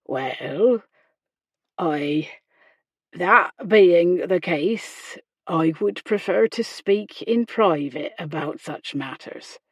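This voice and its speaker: A woman voicing a kindly, proper male country doctor, gentle and hesitant, in a period romance audiobook. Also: lowish voice, takes it slowly, breathy edge, no echo, heavily acted. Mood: taken aback.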